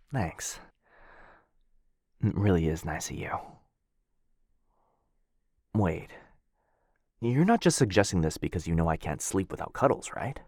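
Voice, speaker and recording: A man playing a shy, sweet, earnest dog-person roommate in an intimate boyfriend ASMR roleplay, speaking softly and hesitantly. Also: sits low, dry recording, clean studio sound, exaggerated voice. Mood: hopeful, touched. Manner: soft, hesitant, halting, bashfully rambling.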